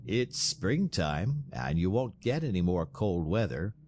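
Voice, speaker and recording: A man's voice: deep voice, very animated, measured pace, a little mellow, exaggerated voice. A man voicing a plain-spoken, wry frontier onlooker in an audiobook, with a blunt, colloquial manner.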